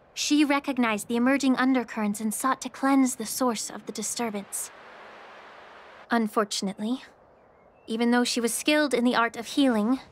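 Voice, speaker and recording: A woman voicing a composed, soft-spoken young woman alchemist in a game. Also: on the high side, natural voice. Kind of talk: game voice acting. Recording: game audio with clear background music.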